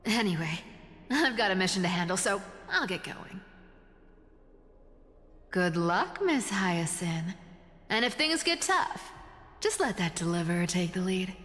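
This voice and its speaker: An actress playing a breezy, teasing young female rogue in a video game, with flippant, drawn-out delivery. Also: lowish voice, very animated, a bit bright, big-room sound.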